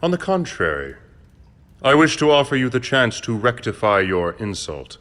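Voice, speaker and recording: An actor playing a cold, commanding aristocratic count in an audio drama, speaking in a formal, measured and imperious way. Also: male voice, mid-range voice, very animated. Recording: long reverb, loud music behind.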